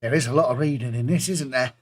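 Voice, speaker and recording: A man's voice: medium pitch, quick pace. A man hosting an online pub quiz, reading out clues and adding jokey asides between them.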